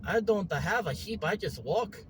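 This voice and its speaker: An eager cartoon wanderer, innocent and forgetful. The voice is fairly high and singsong, with simple broken phrasing and swooping pitch, over clear vehicle noise. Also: male voice, animated, unhurried. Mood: friendly, naive.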